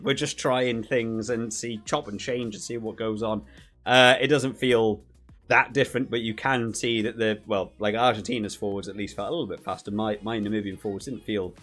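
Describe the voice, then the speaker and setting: A man's voice: medium pitch, a bit bright. A man giving casual video game commentary and review, thinking aloud in a rambling way and often rephrasing himself. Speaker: gaming channel host reviewing a rugby video game.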